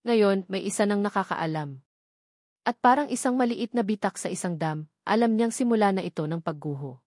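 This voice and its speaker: A woman's voice: mid-range voice, animated, moderate pace, a little mellow, no echo, clean studio sound. A woman giving scripted, dramatic narration of a story as its narrator.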